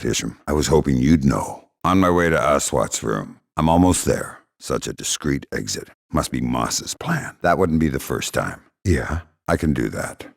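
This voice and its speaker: An actor playing a hardened middle-aged spy in a video game, gravelly, terse and deadpan, with dry sarcasm and menace. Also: male voice, extremely low pitch, exaggerated voice. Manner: terse, deadpan, dryly threatening.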